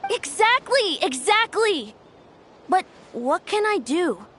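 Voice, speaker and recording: Eager, very high-voiced child. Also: female voice, big pitch swings, takes it slowly, fairly bright tone, slight background noise, full character voice. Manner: earnest, exclamatory. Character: eager young child toy inventor, polite, excitable. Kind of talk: anime-style game voice acting.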